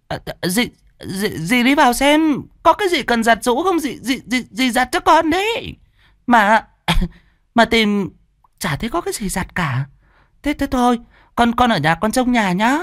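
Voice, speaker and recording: Guy doing a shifty middle-aged stepmom in an audiobook, high pitched, flustered, stumbling over words. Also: very animated, unhurried, fairly bright tone, dry recording, studio quality, heavily acted. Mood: flustered, evasive. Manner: stammering, flustered, repeats words.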